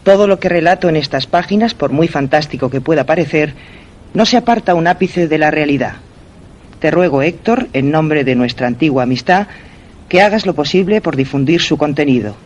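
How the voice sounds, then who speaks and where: A secretive, teasing wife in a suspense radio play. Her Castilian Spanish is highly animated, rising and falling freely. She sounds evasive and theatrical. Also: fairly quick, muffled recording. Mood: solemn reading. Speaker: radio drama actress. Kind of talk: suspense radio drama acting.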